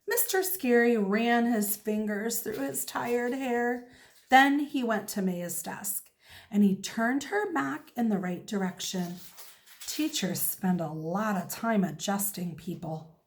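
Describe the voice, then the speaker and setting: A woman reading a children's story aloud as a teacher, expressive and deliberate. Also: on the high side. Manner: animated, deliberate.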